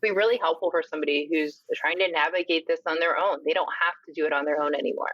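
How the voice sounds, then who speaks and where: A woman's voice: low voice, steady tone, quick pace, no echo, highs cut off. A woman hosting a podcast interview, asking her guest encouraging, guided questions. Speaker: podcast host.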